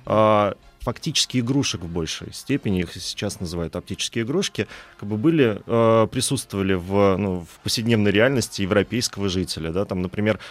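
Halting, low-pitched man in his thirties. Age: 30s. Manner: halting, hesitant delivery with trailing asides. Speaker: guest expert, animation historian. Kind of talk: interview explanation.

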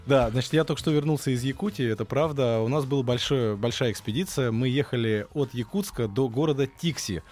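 A man as a guest in a radio studio, telling a travel story eagerly, with many pauses and fillers. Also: medium pitch, a little mellow, faint music behind.

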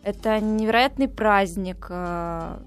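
A woman's voice: mid-range voice, slow, faint music behind. A woman guest in a radio interview, talking enthusiastically about travel with frequent hesitations.

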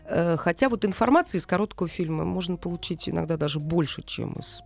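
A woman gives an explanatory interview as a film director, with music in the recording. She explains in an animated way, reaching for simple everyday examples. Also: fairly low pitch, fast, vocal fry.

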